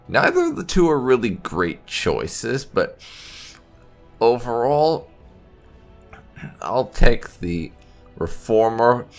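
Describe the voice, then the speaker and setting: Low-voiced man with slow, swinging delivery. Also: vocal fry. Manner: halting, explanatory, thinking aloud. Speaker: video game playthrough host. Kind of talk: unscripted let's-play commentary.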